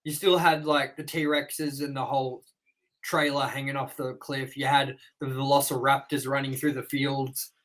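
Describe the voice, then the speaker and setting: A man's voice: mid-range voice, little pitch movement, normal speed, a bit bright, low-quality recording. A man in his thirties co-hosting a movie review show, chatting casually and telling rambling stories with casual swearing.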